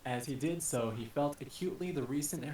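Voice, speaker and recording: A man narrating an action story dramatically, with a deliberate, literary reading style. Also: medium pitch, a little mellow. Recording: echoey, distant mic with hiss.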